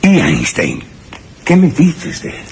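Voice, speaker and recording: Crazed genius with wildly swinging pitch. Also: male voice, huge pitch swings, slow pace, a little mellow, slight background noise, full character voice. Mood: exalted, fervent. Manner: grandiose, rhetorical, swinging emphasis. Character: crazed genius friend, gaunt and triumphant, lecturing obsessively. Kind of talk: Spanish radio suspense drama acting.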